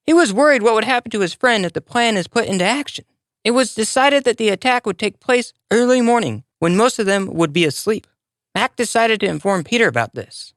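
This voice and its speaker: Lively, close-up children's storyteller. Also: medium pitch, a little fast, no echo. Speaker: storyteller, narrator. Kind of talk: scripted children's moral story narration.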